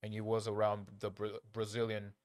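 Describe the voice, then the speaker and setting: Low-voiced man, native Portuguese speaker, reacting casually. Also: monotone, a little mellow. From Angola, grew up in Portugal. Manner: halting, repeats phrases, slangy and blunt. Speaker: reaction video creator. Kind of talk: casual unscripted reaction commentary.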